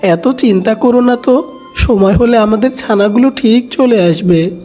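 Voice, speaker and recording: A man's voice: sits high, a little slow, music in the background, voice pushed up. A man voicing a cautious cartoon duck, a protective husband and father. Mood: soothing.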